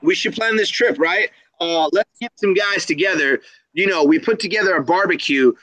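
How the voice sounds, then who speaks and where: A man's voice: sits high, moderate pace, bright, forceful tone, dry recording, low-quality recording. A man hosting a reptile keepers' live stream in a casual, slangy, upbeat style, hyping up the community. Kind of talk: casual live-stream chat with shout-outs.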